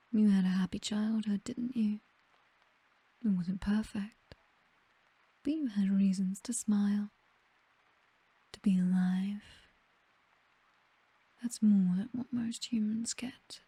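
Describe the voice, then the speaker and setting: A British woman playing a possessive, seductive vampire mistress in an intimate ASMR roleplay, with a breathy whisper and a languid, condescending, aristocratic way of teasing. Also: medium pitch, mellow, dry recording. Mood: tender, possessive.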